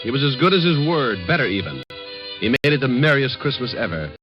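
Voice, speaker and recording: An actor playing a folksy, amused American storyteller, narrating a 1950s radio drama in scripted style. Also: male voice, mid-range voice, very animated, a little fast, natural voice. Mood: amused, storytelling. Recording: muffled vintage radio recording with music.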